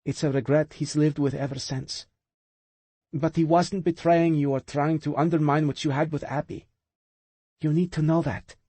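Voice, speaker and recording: A proud young woman, put on by a male narrator, speaks with steady earnestness. The voice sits higher than his own, mellow and a little husky. Recorded dry and close. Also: moderate pace, no echo. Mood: earnest.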